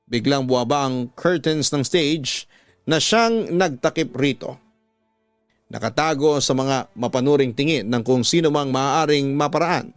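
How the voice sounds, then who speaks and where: A man's voice: on the high side, no room sound. Filipino man narrating dramatically over music. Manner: slow, emotive, deliberate phrasing. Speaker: radio host and storyteller. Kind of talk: dramatic scripted story narration. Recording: music bed behind a dry studio voice.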